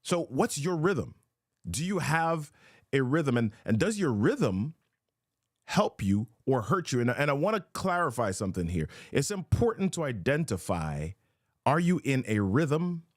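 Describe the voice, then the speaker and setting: A man's voice: mid-range voice, sing-song, measured pace, dry recording, clean studio sound. A man hosting a motivational live show, talking emphatically and repeating his key questions. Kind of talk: motivational live-stream talk.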